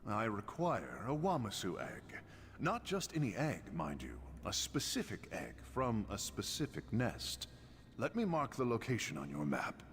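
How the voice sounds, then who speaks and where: An actor voicing a suave, roguish reptilian smuggler merchant in a video game, speaking with flowery, wry courtesy. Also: male voice, lowish voice, huge pitch swings, a little slow, a bit bright, big-room sound, slight background noise, full character voice. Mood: wry, bargaining. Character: suave, roguish male Argonian lizard-man smuggler merchant. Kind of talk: video game character dialogue.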